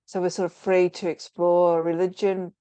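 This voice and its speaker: A woman's voice: on the low side, level tone, moderate pace, warm tone, smooth, no echo. A middle-aged woman, a guest in an online interview, rambling thoughtfully with many fillers and restarts. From New Zealand. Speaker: interview guest, spiritual teacher.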